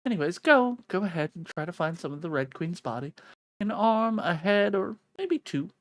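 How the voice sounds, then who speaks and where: Eccentric female Hatter for a visual novel, acted by a streamer: flippant, bossy, lively pitch, dry sound. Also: moderate pace, dry recording, natural voice. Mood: breezy, bossy. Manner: flippant, offhand.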